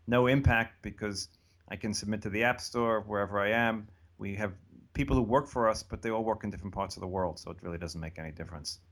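An American man around seventy, answering interview questions in a relaxed, thoughtful way that wanders and restarts. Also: lowish voice. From United States. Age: about 70. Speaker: interview guest. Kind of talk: casual conversational interview.

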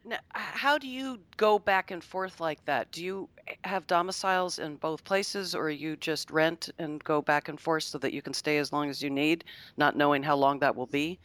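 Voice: medium pitch, normal speed, fairly bright tone, clear, resonant tone, dry recording. An interviewer asking curious, polite questions in a casual conversation.

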